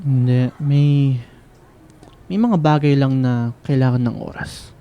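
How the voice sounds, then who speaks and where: A man's voice: medium pitch, fairly even intonation, takes it slowly, smooth, lo-fi sound. An actor playing a brooding, lovesick young male student in a radio drama. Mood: awkward, subdued. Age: young adult. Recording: background music under the dialogue.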